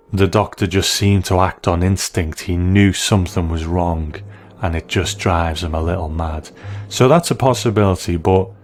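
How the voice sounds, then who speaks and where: A man with a low voice talks through his analysis like a host. His delivery is measured and conversational, over music. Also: a little mellow. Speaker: podcast host reviewing a TV episode.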